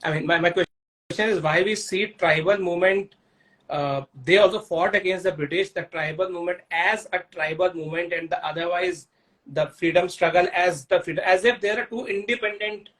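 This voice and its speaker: A man's voice: high voice, fairly quick, fairly bright tone, rough recording. A man hosting a conversation about books, who asks long, winding questions full of fillers. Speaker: host.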